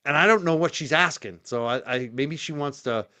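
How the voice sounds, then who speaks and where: A man's voice: a little high, moderate pace, pushed and bright, clean tone. A man hosting a livestream in casual chat, rambling with lots of fillers and laughing easily. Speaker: livestream host.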